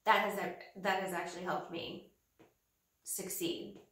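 Woman in her thirties, slightly nasal from a cold, slow and unhurried, roomy sound from a few feet off the mic. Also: lowish voice, a bit bright. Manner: earnest, slow and hesitant with many fillers. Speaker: vlogger. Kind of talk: casual talk to camera. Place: small home office room, indoors. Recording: roomy, slightly distant camera audio.

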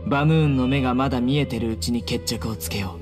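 A man portrays a stoic young warrior in an anime game. He speaks in a mid-pitched voice with terse, serious, deliberate phrasing, over quiet music. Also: close to an everyday voice. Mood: resolute. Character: stern, stoic young male warrior judge. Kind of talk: Japanese anime game voice acting.

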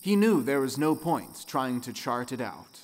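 A man narrating a folktale audio play with dramatic, expressive storytelling. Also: mid-range voice, unhurried. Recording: long reverb, music underneath.

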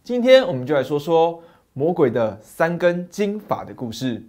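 A middle-aged man narrating a fairy tale as a channel host, with a dramatic, animated manner, on a lapel mic in a small dim study. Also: on the high side, moderate pace. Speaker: storyteller host.

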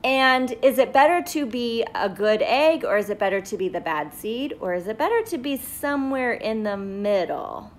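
A woman's voice: fairly high pitch, expressive, normal speed, few pauses. A middle-aged woman teacher talking casually and directly to young viewers during a read-aloud, recorded on a phone in a living room. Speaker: school teacher. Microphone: phone at arm's length.

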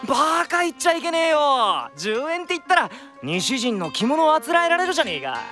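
A man playing a rough-tongued, eager young townsman in a radio drama, with slang and a pleading manner. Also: extremely high pitch, sing-song, fairly quick, over music. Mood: indignant. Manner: colloquial old Tokyo slang, pleading.

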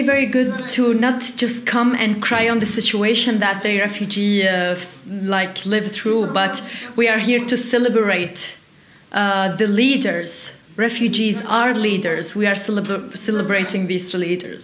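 A woman in her twenties with a Middle Eastern accent, giving earnest, slightly halting answers as an interview guest. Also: mid-range voice, fairly even intonation, fairly bright tone. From Iraq, later Syria and Turkey. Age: 20s. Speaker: interview guest, refugee youth delegate. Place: small indoor interview set. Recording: roomy, echoey sound.

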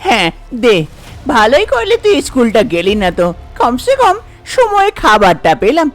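A woman's voice: sits high, huge pitch swings, moderate pace. A woman voicing a harsh, nagging, impatient village stepmother in a cartoon folk tale. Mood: bossy. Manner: nagging, bossy, dismissive. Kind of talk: cartoon story voice acting.

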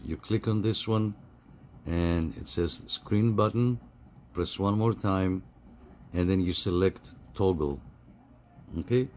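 Low-voiced man giving a halting tutorial. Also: darkish tone. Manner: halting, step-by-step delivery. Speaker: tutorial host. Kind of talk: casual step-by-step phone app tutorial. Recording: clear background noise.

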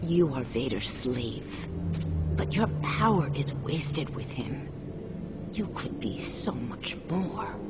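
A woman playing a calm, resolute alien Jedi master in a video game, with a measured, authoritative delivery. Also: rumbling low, heavy background noise, full character voice. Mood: weary, persuasive. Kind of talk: cinematic video game acting.

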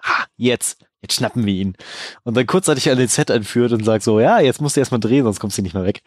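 A man co-hosting a casual film news podcast, speaking in a relaxed, affirming way and recommending titles. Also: mid-range voice, brisk, no echo. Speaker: podcast co-host.

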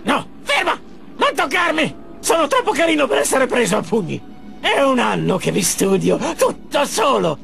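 A man's voice: very high voice, sing-song, normal speed, creaky, a bit bright, faint music behind, heavily acted. A man voicing a boastful, childish half-robot villain minion in Italian game cutscenes, theatrical and frantic. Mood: panicky, whiny. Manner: theatrical, frantic, self-important. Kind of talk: animated game cutscene acting.

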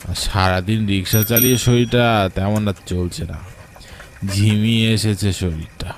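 Low-voiced, frightened village rickshaw puller, acted by a man. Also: normal speed, noisy background, lightly acted. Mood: weary, uneasy. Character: frightened, weary village rickshaw puller telling his ghost encounter. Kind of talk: dramatic first-person horror story narration for a cartoon.

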